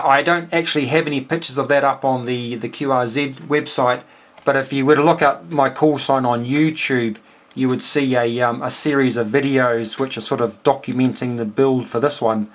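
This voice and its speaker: A man with a New Zealand accent chatting casually and technically as an amateur radio operator, explaining things in a halting way. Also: medium pitch, fairly bright tone.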